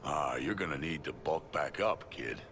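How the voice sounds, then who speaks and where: Brief: a wry, gravelly soldier for hire, low voice, rises and dips a lot. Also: male voice, expressive, moderate pace, a bit bright, a little hiss or hum, full character voice. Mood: sardonic. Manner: dry, sardonic. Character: gruff male mercenary, wry and sardonic.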